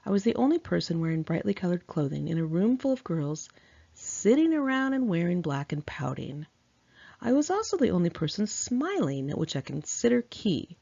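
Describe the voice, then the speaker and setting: A woman's voice: on the low side, big pitch swings, normal speed, vocal fry, warm tone, no room sound, muffled recording. A woman reads her own memoir aloud in an informal, candid manner, as an author narrating.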